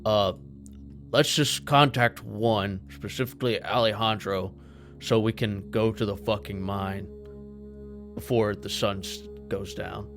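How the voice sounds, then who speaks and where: Low-voiced, blunt man at a game table. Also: fairly even intonation, unhurried, creaky, over music. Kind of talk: casual tabletop roleplay chat.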